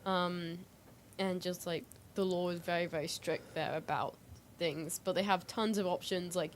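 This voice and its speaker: A woman's voice: on the low side, fairly even intonation, moderate pace. Hesitant young-sounding female co-host with faint noise. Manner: hesitant, frequent "like" hedging. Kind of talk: casual chat.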